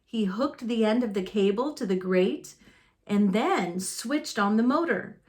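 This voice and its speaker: A woman reading a children's storybook aloud expressively and deliberately, with dramatic pauses. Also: medium pitch, animated, a little mellow. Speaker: storybook reader.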